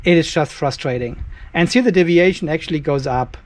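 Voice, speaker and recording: Guy in his 50s, kinda high voice, walking through a battery job in his garage, camera right up close. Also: brisk. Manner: explains step by step while working, thinking aloud. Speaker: channel host. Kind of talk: hands-on workshop demo. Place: cluttered garage workshop with a metal roof. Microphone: handheld camera held close.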